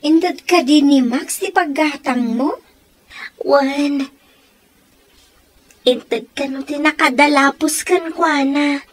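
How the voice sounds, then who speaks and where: A woman acting in an Ilocano comedy radio drama, with an Ilocano Filipino accent. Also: high voice.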